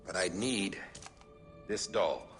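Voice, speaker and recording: An actor playing a masked male director who gives a secretive recorded message in a hushed, confiding way. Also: low-pitched, expressive, slow, over music. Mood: grave. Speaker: director of an organization.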